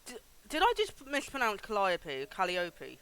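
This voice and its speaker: Male livestream host, high pitch, bright tone, informal and sweary game commentary. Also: wildly swooping pitch, a little slow, clear, resonant tone, rough recording.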